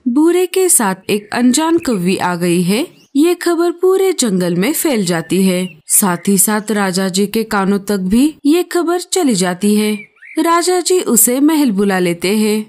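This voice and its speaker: A woman giving scripted storybook narration for an animated tale. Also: mid-range voice.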